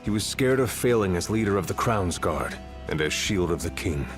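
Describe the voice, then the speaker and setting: Very low-voiced older warrior mentor. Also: male voice, animated, normal speed. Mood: grave, steady. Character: seasoned older male warrior mentor, gruff, steady. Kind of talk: dramatic video game voice acting. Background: loud music.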